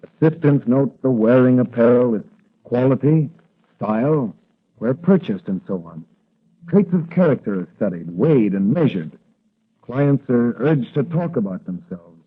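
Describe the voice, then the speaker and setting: Confident young plainclothes policeman, matter-of-fact, slightly husky, vintage radio serial with narrow muffled sound. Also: medium pitch, lively intonation, unhurried. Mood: matter-of-fact, earnest. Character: confident young patrolman explaining rackets, a plainclothes crimefighter. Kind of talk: 1940s radio adventure acting. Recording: muffled, band-limited vintage radio recording.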